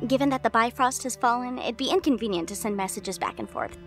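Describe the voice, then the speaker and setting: A woman voicing a young underworld goddess in an audio drama, chirpy or crisply formal. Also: on the high side, lively intonation, fast talker, soft background music. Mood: formal report. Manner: chirpy, switching to crisp formality when reporting. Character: bubbly young goddess, daughter figure of the underworld.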